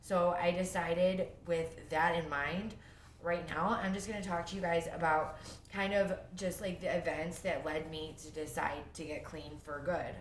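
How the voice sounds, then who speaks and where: A young woman talks about her own life at home, her low voice rambling along with plenty of fillers and restarts. She sounds sniffly from a cold. The room is echoey, and a faint hiss sits underneath. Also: level tone, unhurried. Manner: rambling, lots of fillers and self-interruptions. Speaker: vlogger sharing her recovery story. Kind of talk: casual first-person storytelling.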